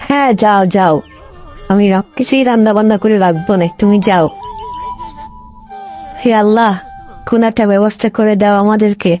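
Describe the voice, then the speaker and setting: A woman's voice: mid-range voice, moderate pace, pauses often, a little mellow, lightly acted. A woman voicing a demanding wife fox in a cartoon moral story, speaking in a homely, instructing manner. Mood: wishful. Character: bossy, demanding wife fox, longing for better home. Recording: music behind the voice. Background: music.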